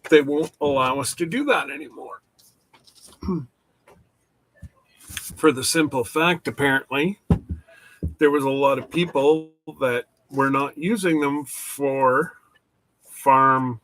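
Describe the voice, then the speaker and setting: A man hosting a casual rambling chat, talking slowly with many pauses and restarts, his voice stuffed up from hay fever. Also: medium pitch, a bit bright, slight background noise, rough recording. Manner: slow, halting, with many restarts. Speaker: channel host. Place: workshop.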